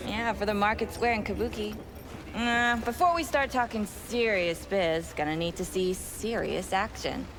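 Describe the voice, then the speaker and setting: A woman's voice: medium pitch, normal speed, close to an everyday voice. Sardonic, jaded woman schemer in a game, acted. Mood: dry, challenging. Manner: sardonic, dismissive. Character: jaded, scornful woman plotting a gang takeover. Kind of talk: video game voice acting.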